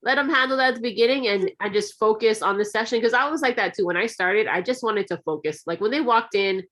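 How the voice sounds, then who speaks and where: A woman hosting an interview, chatty and encouraging, talking in long, quick runs. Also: fairly high pitch, a bit bright. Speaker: interview host. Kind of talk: casual interview conversation.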